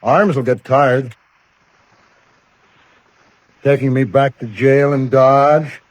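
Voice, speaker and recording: Casting: male actor for a nonchalant killer in a radio western, mid-pitched, very unhurried, laconic. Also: fairly even intonation, no echo, lightly acted. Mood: sardonic calm. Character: cold, unrepentant outlaw killer, nonchalant. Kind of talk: old-time radio western drama acting.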